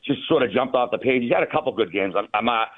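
A man's voice: medium pitch, expressive, fast, breathy edge, no echo. A man hosting casual sports talk about hockey, rambling informally, heard over a tinny, narrow phone-like line.

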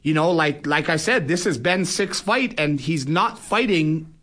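A man's voice: fairly high pitch, measured pace, fairly bright tone. Casual man in his forties. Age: early forties. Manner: colloquial, frequent "you know". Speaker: boxer and fight promoter, interview guest. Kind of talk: casual radio interview.

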